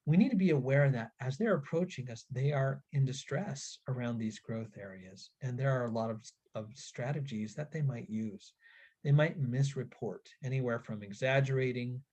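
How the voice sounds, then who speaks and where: Male webinar presenter, husky and mellow, earnest and deliberate, instructing parents. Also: mid-range voice. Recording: dull, lo-fi webinar audio.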